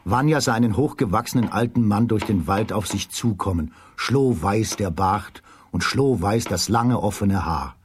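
A man's voice: fairly low pitch, normal speed, slight background noise. A man narrating a children's fairy tale as scripted storytelling in a radio play.

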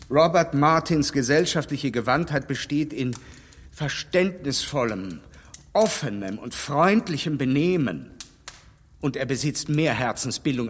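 An actor playing a stern gentleman who lectures a young woman in a radio play, speaking firmly and reproachfully. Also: a little high, big-room sound. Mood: indignant. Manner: firm, reproachful, emphatic.

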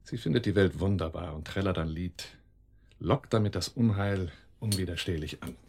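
A cold crime boss with a low, slightly rough voice. He speaks deliberately and sardonically, in the commanding manner of a radio drama villain. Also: a little mellow, exaggerated voice. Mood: coldly menacing. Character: cold, menacing male crime boss, calmly cruel. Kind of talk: German radio drama acting.

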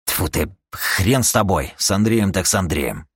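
Casting: man playing a brash, impatient audiobook mercenary, low-pitched, curt and combative, with a dry close sound. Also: expressive, a little slow, a bit bright, slightly rough, no echo, exaggerated voice. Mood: curt, annoyed.

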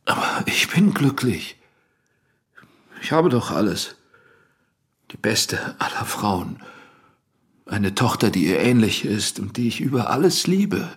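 A man's voice: medium pitch, very animated, slow, pauses often, a bit bright, breathy edge, exaggerated voice. An actor playing a troubled married man under suspicion, defensive, in an intimate radio drama. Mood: tipsy, pleading.

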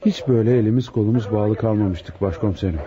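An actor playing a dutiful, commanding police inspector in a radio drama, speaking deliberately. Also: male voice, fairly low pitch, crowd noise, close to an everyday voice. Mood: reporting, frustrated. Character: dutiful male police inspector leading a murder case. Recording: dull, noisy old radio broadcast.

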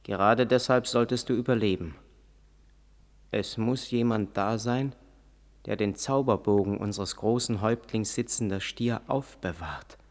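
A man's voice: fairly low pitch, a little slow. A solemn warrior father. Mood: grave. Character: proud, solemn Lakota warrior father recounting the past. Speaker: narrator and lead character. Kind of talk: German radio play acting and narration.

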